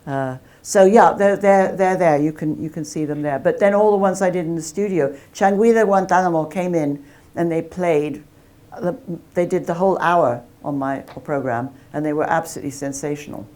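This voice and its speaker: A woman's voice: lowish voice, moderate pace, a little mellow. A woman academic speaking at a talk and answering audience questions, chatty and self-deprecating, with frequent restarts.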